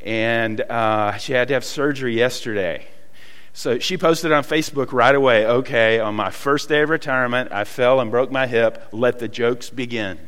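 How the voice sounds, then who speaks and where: A man with a Southern US accent preaching a sermon, telling folksy stories with many pauses and fillers. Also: medium pitch, a bit bright, smooth, in a large hall. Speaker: pastor. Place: church hall.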